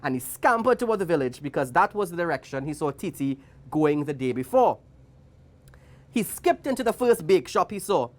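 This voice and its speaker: A woman's voice: low voice, sing-song, normal speed, a little mellow. A woman with a Trinidadian accent reading a storybook aloud to children, theatrical and playful, with chatty asides. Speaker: guest story reader for children.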